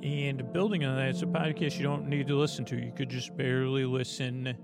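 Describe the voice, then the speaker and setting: A man hosting a sleep podcast, rambling in a loose, meandering way that drifts softer and quieter. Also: medium pitch, little pitch movement, a little fast, over loud music. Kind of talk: rambling casual monologue.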